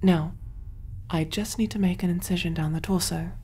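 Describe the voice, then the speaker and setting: A woman voicing a kind, clinical alien surgeon in a gentle, reassuring ASMR roleplay, soft-spoken and often whispering. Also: fairly low pitch, steady tone, normal speed, slight background noise, lightly acted. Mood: soothing, reassuring.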